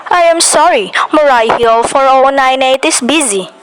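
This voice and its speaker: A woman's voice: high-pitched, moderate pace, background noise, close to an everyday voice. A young woman playing a courteous telephone operator in a formal, polite manner.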